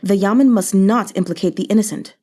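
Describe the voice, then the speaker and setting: Woman voicing a cool, mellow, shrewd male commander. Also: mid-range voice, lightly acted. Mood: composed, shrewd. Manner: calm, formal, deliberate.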